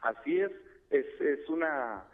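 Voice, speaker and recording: A man's voice: fairly high pitch, animated. Tseltal-speaking man on a tinny phone line. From Chiapas, Mexico. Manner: measured, formal, addresses host by name. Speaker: phone-in guest expert. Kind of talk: radio phone interview. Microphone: telephone.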